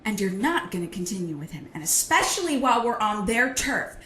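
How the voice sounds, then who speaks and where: Sassy female team leader, acted by a woman, mid-pitched, blunt, familiar, echoey room. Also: very animated, fairly quick, a bit bright. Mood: frank, friendly. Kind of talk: fan audio drama acting. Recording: echoey room, faint noise.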